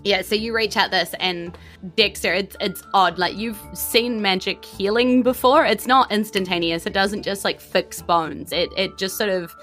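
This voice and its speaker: A woman with a New Zealand accent narrating as game master, in an informal, descriptive and animated way. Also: mid-range voice, moderate pace, soft background music. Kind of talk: improvised tabletop game narration.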